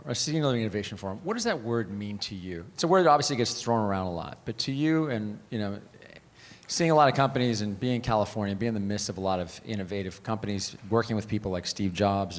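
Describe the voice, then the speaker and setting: A man moderating an onstage Q&A, asking long, chatty questions with fillers. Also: fairly low pitch. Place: large conference hall. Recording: echoey conference hall with audience.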